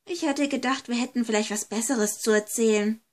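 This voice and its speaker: A woman's voice: a little high, fairly even intonation, a little fast, a little mellow, close to an everyday voice. A woman voicing a timid, apologetic young friend in an amateur horror audio story. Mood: subdued, wistful.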